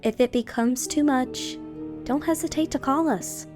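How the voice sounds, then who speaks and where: A woman playing a gentle, reassuring older cousin pony in a cartoon audio drama, over music. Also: high voice, a little slow. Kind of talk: animated audio drama acting.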